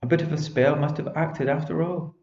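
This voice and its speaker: A man voicing a small, frightened, kind female fairy in a high, pleading voice for a storybook reading. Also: fairly even intonation, brisk, a little mellow, roomy sound, dull audio, lightly acted. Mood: coaxing.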